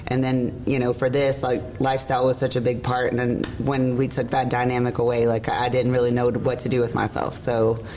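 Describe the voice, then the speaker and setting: Hoarse woman, podcast host, casual rambling chat, slight hiss. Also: extremely low pitch, completely flat delivery, brisk, hardly any pauses, warm tone. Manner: rambling, emotional, laughs often. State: hoarse, lost voice. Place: room at an event hotel. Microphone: shared mic.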